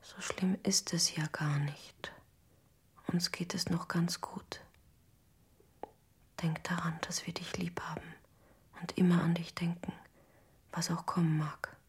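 An actress playing an anxious, loving mother reading out her letter to her child in a breathy whisper, in a radio drama. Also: fairly low pitch, flat delivery, slow, pauses often, full character voice. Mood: tender, worried.

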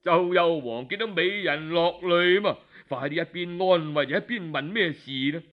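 A man with a Cantonese accent telling a historical tale in an expressive, colloquial traditional storytelling style. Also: fairly high pitch, normal speed. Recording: dull lo-fi audio.

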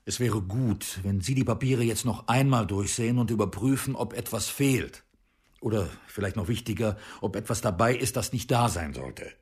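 An actor playing a stern police inspector in a radio crime drama, speaking formally and probingly in a husky voice. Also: male voice, on the low side, normal speed, a little mellow.

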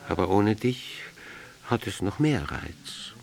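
A man playing a wry, cynical gentleman lover in a literary dialogue, with a dry, ironic manner. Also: on the low side, expressive, takes it slowly, creaky, full character voice.

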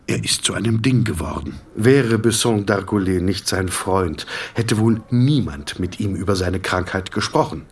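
A man reading scripted literary narration for a radio drama in a measured, sober manner. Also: low-pitched, lively intonation, breathy edge, distant mic.

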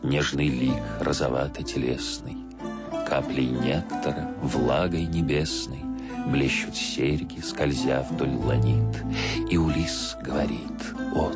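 A man reciting verse in a measured, declamatory way for a radio play. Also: extremely low pitch, fairly even intonation. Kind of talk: slow scripted poetry recitation. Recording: music behind the voice. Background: guitar music.

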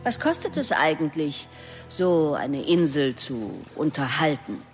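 A woman's voice: low-pitched, sing-song, unhurried, faint music behind. An actress playing a sardonic, menacing police detective in a radio drama, needling and sarcastic as she questions suspects. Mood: sardonic, probing. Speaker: police investigator.

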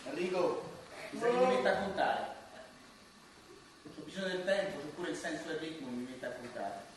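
A man's voice: fairly high pitch, expressive, normal speed, noisy background, natural voice. An actor playing a restless, cranky dead man in a radio drama, speaking colloquially and insistently, repeating himself. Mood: restless, complaining. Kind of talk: theatrical radio drama acting. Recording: very echoey, distant, music underneath.

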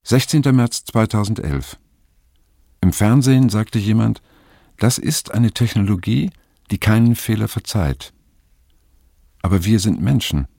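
An actor playing a brooding middle-aged diarist in an audio drama, telling his story in a sober, reflective, measured way. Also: fairly low pitch, vocal fry, dark tone, dry recording, studio quality. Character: brooding man past middle age, haunted first-person diarist. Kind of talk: literary audio drama monologue. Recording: music behind the voice. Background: music.

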